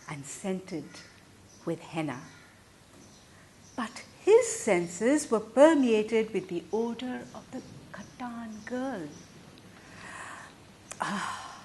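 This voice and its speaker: A woman reads literary fiction aloud at a slow, measured pace. Her delivery is dramatic and expressive, swelling with emphasis and sinking into hushed endings. Her pitch sits in a middle female range, with light noise behind her. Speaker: reader of a literary short story.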